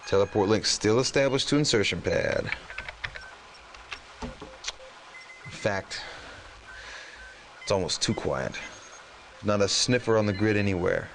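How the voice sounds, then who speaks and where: A man acting a jittery rebel tech operator in a game cutscene, talking in casual jargon, with some noise behind him. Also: lowish voice. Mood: wary.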